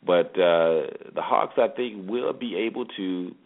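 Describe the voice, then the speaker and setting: Deep-voiced American sports host, phone-like sound. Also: male voice, measured pace, vocal fry. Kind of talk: sports commentary and game preview. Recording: tinny phone-like narrowband sound.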